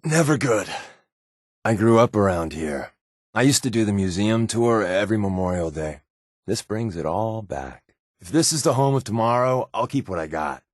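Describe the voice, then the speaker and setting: An American actor playing a sardonic, wisecracking secret agent companion in a video game, with a wry, offhand delivery. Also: male voice, fairly low pitch, expressive, normal speed, dry recording, studio quality.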